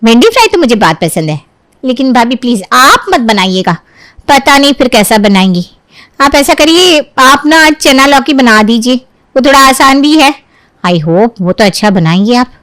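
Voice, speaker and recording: Woman acting a high, nagging, bossy young sister-in-law. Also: big pitch swings, moderate pace, a bit bright, dry recording. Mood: condescending. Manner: nagging, condescending. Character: fault-finding, bossy young sister-in-law, sugary and smug.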